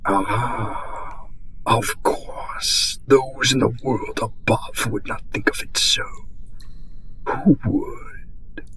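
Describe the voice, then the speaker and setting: A man playing an eldritch masked auditor of an underground city, a calm, possessive captor who whispers breathily in formal, archaic words, in a fantasy audio roleplay. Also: medium pitch, breathy edge, exaggerated voice, low-quality recording. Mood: stern, measured. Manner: formal, archaic wording, slow and soothing.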